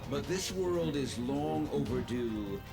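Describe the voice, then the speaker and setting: Slow, gravelly robot villain. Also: male voice, mid-range voice, lively intonation, heavily acted. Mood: cold, menacing. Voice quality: gravelly, synthetic. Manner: slow, deliberate, ominous. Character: menacing male robot villain, cold, grandiose, bent on conquest. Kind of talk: video game villain voice acting. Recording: loud music under the voice.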